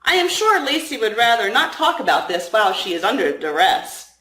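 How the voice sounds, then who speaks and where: A woman's voice: fairly high pitch, very animated, a little fast, a bit bright. Woman reading as an exasperated young male agent, echoey. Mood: irritated. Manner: grumbling, put-upon. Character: irritated, earnest younger male FBI agent. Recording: roomy, echoing room sound.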